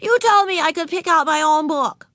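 A woman voicing a bold, loud, stubborn little girl of four, in a high childlike voice. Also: moderate pace, dry recording, clean studio sound. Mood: insistent.

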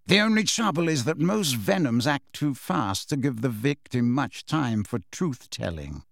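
A man voicing a cold, disdainful middle-aged male professor who speaks slowly and smoothly in an audiobook reading. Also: mid-range voice, very animated, dry recording, studio quality. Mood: coldly smooth.

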